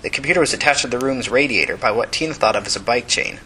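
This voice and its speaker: A man's voice: medium pitch, fast, bright, forceful tone, a little hiss or hum. A man reading a scripted novel as narrator.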